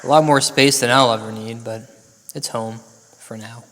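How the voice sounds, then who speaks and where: A man telling a comedic ghost story as a storyteller, deadpan and hesitant, with mock-gothic dramatic pauses. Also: medium pitch, a little hiss or hum. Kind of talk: slow comedic ghost-story narration, part verse recital. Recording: long hall reverb over music. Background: music.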